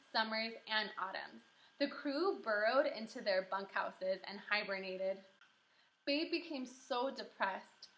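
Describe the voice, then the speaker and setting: A woman teaching kindergarten through a read-aloud lesson, encouraging and animated, asking questions and pausing for answers. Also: a little high. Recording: roomy echo.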